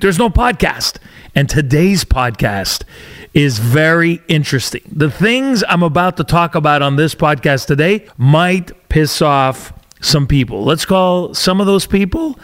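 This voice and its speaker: A man's voice: fairly high pitch, lively intonation, unhurried. A man hosting a casual solo podcast, talking candidly while his voice keeps cracking as if he's losing it. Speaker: podcast host.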